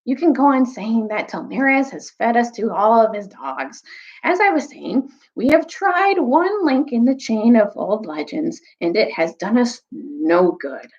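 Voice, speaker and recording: A woman's voice: fairly high pitch, sing-song, a little slow, darkish tone, highs cut off, pitched above the performer's own voice. A woman voicing a bitter, scheming male dwarf in a storybook, grudging and argumentative. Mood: bitter, persuasive. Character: bitter, scheming male dwarf plotting against old legends.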